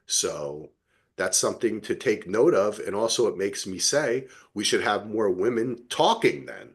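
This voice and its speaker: A man's voice: on the low side, animated, a little slow, breathy edge. A man hosting a podcast, chatting casually and with enthusiasm. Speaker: podcast host. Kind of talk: casual chat.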